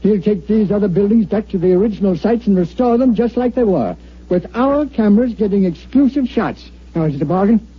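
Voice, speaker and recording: A bossy, wisecracking newsroom chief, high in pitch with a rough edge, fires off punchy orders. It is an old radio drama with muffled sound. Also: male voice, lively intonation, a little fast. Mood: bossy, excited. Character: brash, commanding, fast-dealing male newspaper editor. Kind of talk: 1950s radio drama acting.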